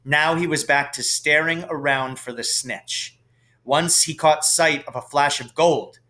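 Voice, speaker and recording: A man's voice: medium pitch, pushed and bright. A man reading a storybook aloud as scripted narration. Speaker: audiobook reader, teacher.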